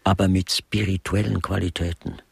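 Dry, low-voiced pedantic art connoisseur. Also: male voice, flat delivery, normal speed, gravelly, husky, no room sound, full character voice. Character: dry, inquisitive male artist, knowing about art. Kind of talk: German radio crime comedy acting.

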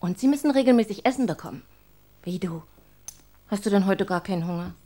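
Woman doing a busy mom in a radio play, medium pitch, natural, practical, explains stuff to her kid. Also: animated, fairly quick, warm tone, lightly acted. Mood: patient, explaining. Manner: motherly, instructive. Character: busy, practical mother of a young schoolboy. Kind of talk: natural radio drama dialogue.

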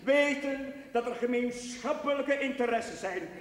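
An actor playing an earnest young chaplain in a television drama, preaching in solemn, measured, declamatory phrases. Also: male voice, extremely high pitch. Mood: fervent, pleading. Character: earnest young newly arrived chaplain preaching to his parish. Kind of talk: solemn rhetorical sermon, television drama acting. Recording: echoey church, distant from the microphone.

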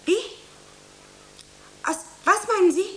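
A woman playing an eager, anxious young beginner actress in a radio play, earnest and theatrical, with dramatic pauses. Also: extremely high pitch, bright, forceful tone, full character voice. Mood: nervous, pleading. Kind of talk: German radio play acting. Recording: roomy, faint hiss.

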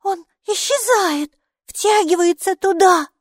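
A woman voicing a lively, stubborn, inquisitive little girl in a children's audiobook, speaking emphatically. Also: extremely high pitch, unhurried, no echo, studio quality, pitched above the performer's own voice. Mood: excited, insistent. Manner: emphatic, excitable, matter-of-fact.

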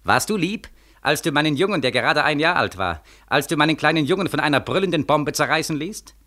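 An actor in a 1940s radio play as a bitter, desperate young soldier, mocking and questioning insistently. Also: male voice, on the high side, lively intonation, fairly quick, bright, forceful tone. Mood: bitter, accusing.

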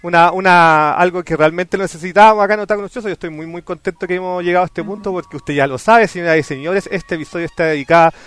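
Playful Chilean podcast host, high clear voice. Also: male voice, fairly even intonation, fast talker, hardly any pauses, a bit bright. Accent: Chilean Spanish. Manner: playful, showman-like announcing. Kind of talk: casual podcast chat.

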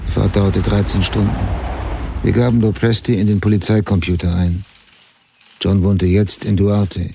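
A grim male crime writer narrating a radio drama. His voice is low, gravelly and dark, and his delivery is sober and terse. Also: flat delivery, normal speed, exaggerated voice. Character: grim adult male crime writer investigating mother's murder.

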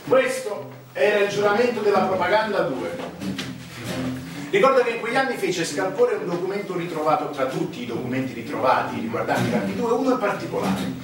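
An actor playing a wry storyteller on stage, emphatic and ironic, with dramatic pauses. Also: male voice, high voice, sing-song, over music. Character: wry male narrator recounting a political scandal. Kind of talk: theatrical monologue on a political scandal. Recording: echoey stage, distant mic, clear noise.

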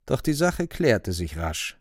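An actor narrating a dark fantastic radio play as a grave, watchful storyteller. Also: male voice, on the low side, very animated, slow, no echo, studio quality, exaggerated voice. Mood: hushed suspense.

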